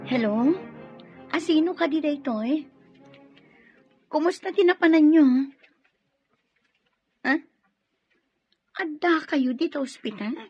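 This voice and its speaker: A woman's voice: high-pitched, animated, takes it slowly, long pauses, darkish tone, lo-fi sound, soft background music. A woman with an Ilocano Filipino accent acting a worried, caring police office worker in an audio drama. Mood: alarmed.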